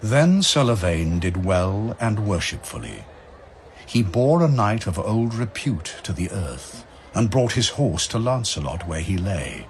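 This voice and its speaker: Deep, slow, dramatic male narrator. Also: very animated, a little hiss or hum. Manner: grand, theatrical storytelling. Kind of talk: dramatic scripted narration of epic verse. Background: music.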